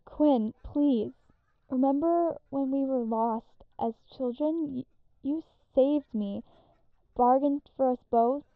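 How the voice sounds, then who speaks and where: Muffled and thin, a high-voiced young-sounding sister played by an actress speaks slowly from a dream realm. She is fragile, halting and frightened, pleading in audio drama style. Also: dry recording. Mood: scared, pleading. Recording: muffled, dull, dry sound.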